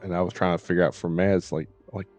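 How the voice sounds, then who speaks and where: A man live streaming, chatting casually in a halting, rambling way that trails off and circles back. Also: sits low, little pitch movement, a little mellow. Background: faint music.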